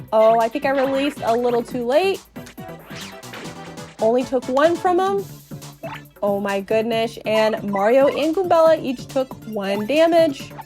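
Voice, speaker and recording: A woman with a high voice narrates a video game like a playful storyteller. Her delivery is cutesy and expressive, with lively swings in pitch. Music plays softly behind her. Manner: cutesy, expressive, storyteller-like, uses sound words. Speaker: podcast host doing a dramatic read-along of a video game.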